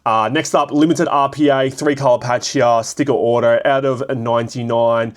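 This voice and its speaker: Raspy Australian man with a cold. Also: mid-range voice, steady tone, moderate pace. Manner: rambling, filler-heavy, thinking aloud. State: hoarse from a cold. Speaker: card shop vlogger. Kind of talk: casual solo commentary.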